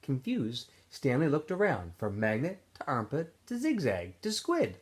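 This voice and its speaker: A male teacher reads a novel aloud for his students, chatty and casual between chapters. His voice sits at a middle pitch but moves around a great deal, giving the reading an animated lift. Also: unhurried, husky. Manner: casual, chatty recap with fillers, then expressive reading.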